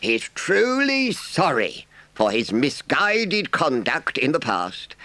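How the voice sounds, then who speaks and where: Storybook badger, elderly, solemn, stern yet kindly, high swooping pitch, slow emphatic oratory. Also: male voice, pushed and bright, no room sound, heavily acted. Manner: pompous, slow, emphatic speechifying.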